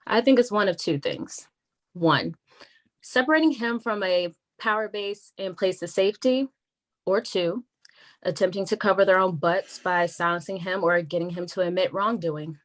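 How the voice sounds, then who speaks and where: A woman playing a wary, frank government attorney in a naturalistic script read, measured and confiding. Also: lowish voice, lightly acted. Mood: frank, analytical.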